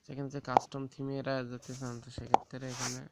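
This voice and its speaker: A man gives an informal, halting step-by-step phone app tutorial, often repeating himself. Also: mid-range voice, monotone. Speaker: tech tips tutorial host. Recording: very noisy, rooster crowing and clicks behind.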